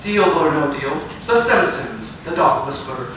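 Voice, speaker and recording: A composed male soul show presenter with a bright, high voice. He announces in a measured deadpan on hospital radio, in a very echoey space. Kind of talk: radio link, dry announcing. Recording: very echoey, distant, faint hiss.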